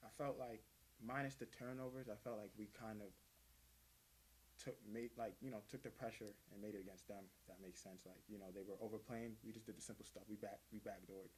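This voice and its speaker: Young player, flat low casual voice. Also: male voice, fairly quick, a little hiss or hum, rough recording. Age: young adult. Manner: casual, loose phrasing. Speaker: college basketball player. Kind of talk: postgame press conference answers.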